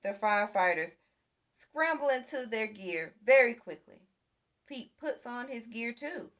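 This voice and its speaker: Woman reading a children's story, slow and animated. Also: fairly high pitch. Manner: expressive, acts out sound effects and exclamations. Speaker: storybook reader. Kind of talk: children's read-aloud story narration.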